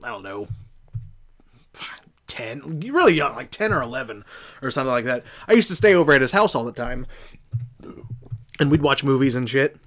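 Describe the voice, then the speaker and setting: Man, American accent, medium pitch, podcast host, casual storytelling, rambling, backtracking. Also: very animated, normal speed, pauses often, fairly bright tone. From southeastern Indiana, United States. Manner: rambling, backtracking, loses his thread.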